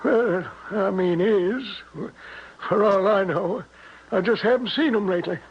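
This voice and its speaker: A folksy, defensive innkeeper, with a high, husky voice. He speaks in stammering, homespun turns, and the seventies radio drama recording sounds dull. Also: measured pace, heavily acted. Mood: scornful, evasive. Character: folksy small-town innkeeper, grumbling, uneasy and defensive. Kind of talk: 1970s radio drama acting.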